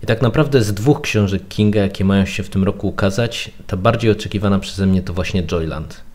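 A man's voice: sits low, fairly quick, darkish tone. A man giving prepared opinion commentary as a guest on a podcast.

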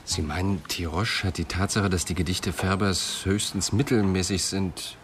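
Actor as low-voiced, persistent police inspector. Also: male voice, steady tone, lightly acted. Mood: probing, insistent. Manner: measured, insistent questioning. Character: calm, probing, persistent male police inspector. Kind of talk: German radio drama acting. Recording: faint background noise.

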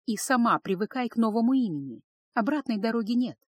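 A woman voicing a world-weary, consoling harem woman in an audiobook, gentle and measured. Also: a little high, animated, breathy edge, no room sound, pitched above the performer's own voice. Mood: soothing, sad.

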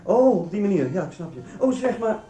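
An actor playing an impatient, flustered customer in a radio play, hesitant and insistent. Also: male voice, high-pitched, very animated, a little fast, dark tone, roomy sound. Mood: hesitant, coaxing. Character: flustered, impatient male supermarket customer in a hurry.

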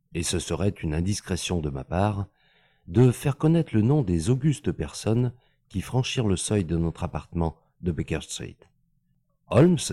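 A man reading a classic novel aloud as an audiobook, with measured, expressive storytelling. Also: low voice, no room sound. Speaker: audiobook reader. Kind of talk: scripted narration.

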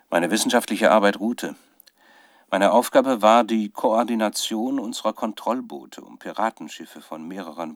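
A man's voice: sits low, dry recording, studio quality. An actor playing a male scientist who keeps a troubled, fervent spoken research diary in a radio drama, often searching for words. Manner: halting, searching for words, dramatic shifts from musing to fervour. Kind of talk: radio drama monologue as dictated audio diary.

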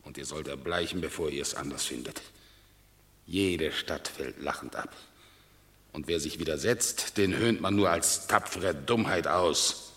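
An actor playing a stern, accusing Roman general in a classical radio play, with biting, sardonic emphasis. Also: male voice, a bass voice, a little slow, a bit bright, big-room sound, full character voice. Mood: grim warning. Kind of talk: classical radio drama, theatrical declamation.

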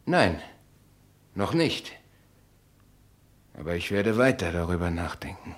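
Radio drama lead: a shrewd, self-assured man, calm and fairly low-pitched. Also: slow. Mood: dry, composed. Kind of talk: German radio play acting.

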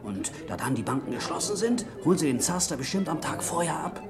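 Pushy gangster, swinging pitch. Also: male voice, expressive, brisk, music in the background. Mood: cajoling. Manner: slangy, cajoling, needling. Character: pushy, sly small-time gangster recruiting an accomplice. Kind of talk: 1970 German radio crime play acting. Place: radio drama studio.

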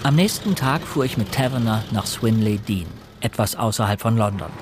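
A man playing an earnest young fiancé turned amateur sleuth in a radio play, measured and inquiring as he acts and narrates. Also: fairly low pitch, noisy background, natural voice. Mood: reflective narration.

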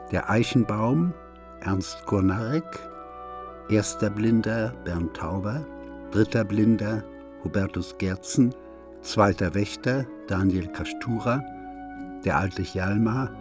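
A man reading out the title and credits of a radio play as a scripted announcement. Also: fairly low pitch. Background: music.

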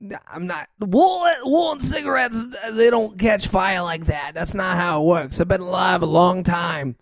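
A man playing an ancient, warty, chain-smoking old woman in an improv comedy scene, loud and grumbling about the old days. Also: high voice, measured pace. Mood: grumpy.

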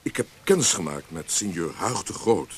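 An actor playing a roguish, self-doubting poet in a radio play, playful and smitten, with a hesitant way of speaking. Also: male voice, low voice, animated, moderate pace, a little mellow. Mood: playful, sheepish. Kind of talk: Dutch radio play acting. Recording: noisy old radio play recording.

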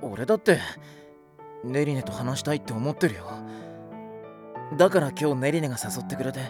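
A man's voice: medium pitch, animated, fairly quick, over music, natural voice. A man playing a kind-hearted, casual teenage schoolboy in an anime audio drama, with blunt, informal speech. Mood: earnest, reassuring.

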